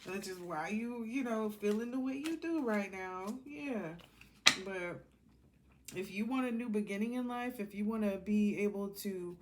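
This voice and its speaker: A woman tarot reader talks in a casual, blunt monologue at a middling pitch. She wanders between asides in an informal way. Faint noise and small clicks sit behind her voice. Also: measured pace, darkish tone.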